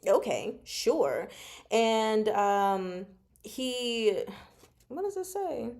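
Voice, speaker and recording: Woman, slow pace, animated, giggly, casual fan discussion, breaks off her own sentences. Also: fairly high pitch. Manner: animated, giggly, self-interrupting. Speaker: host of a book discussion show. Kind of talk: casual fan discussion, reading from notes and comments.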